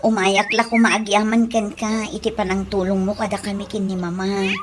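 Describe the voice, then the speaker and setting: A young daughter played by an actress speaks in a high voice with an Ilocano Filipino accent. She is anxious and contrite, in radio drama style, over faint noise. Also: a little slow. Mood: apologetic. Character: contrite young daughter, once scornful, anxious for her mother.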